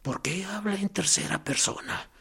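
An actor playing a tortured male prisoner in a radio drama, speaking in a hoarse whisper with morbid sarcasm. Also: high voice, moderate pace, fairly bright tone, full character voice. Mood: mocking.

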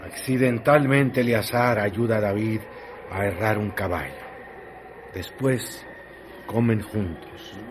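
A man narrating a radio novel in a slow, measured and intense way. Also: fairly low pitch, noisy background. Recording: narration over loud music.

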